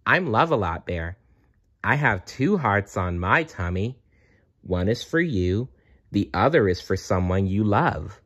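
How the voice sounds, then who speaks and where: Man voicing cheerful, animated cuddly teddy bear. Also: on the low side, unhurried, husky, heavily acted. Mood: cheerful, caring. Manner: friendly, encouraging. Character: cheerful, caring cuddly rainbow-and-sunshine teddy bears.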